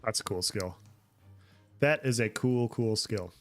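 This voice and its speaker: A man's voice: fairly low pitch, measured pace, faint music behind. A man chatting casually on a gameplay livestream, answering viewer comments as he plays. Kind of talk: casual gameplay stream chat.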